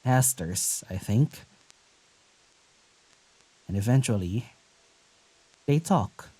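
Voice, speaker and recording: An actor playing a gentle, wary young traveler in a naturalistic audio drama, speaking in a hesitant, musing way. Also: medium pitch, lively intonation, drawn-out words, slightly rough, heavily acted, rough recording. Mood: awed, musing.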